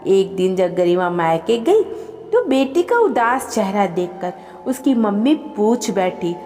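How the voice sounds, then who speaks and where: A woman reading a scripted, emotional family story as an expressive storyteller. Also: mid-range voice, takes it slowly. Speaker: storyteller and channel host. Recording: long reverb with music behind. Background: background music.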